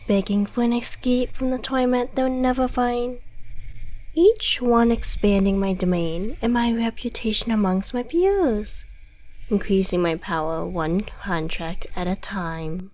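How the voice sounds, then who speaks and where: A woman's voice: on the high side, a little mellow, poor audio. A woman playing an ancient devil in a scripted ASMR roleplay, theatrical, articulate and condescending, mockingly friendly with menace underneath. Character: suave, gloating devil, centuries old, mockingly friendly, menacing.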